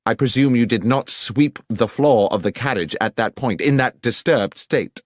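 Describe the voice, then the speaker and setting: A man voicing a composed, brilliant Victorian detective in an audiobook, precise, deliberate and assertive as he questions. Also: mid-range voice, no echo, clean studio sound, close to an everyday voice. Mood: pointed, incisive.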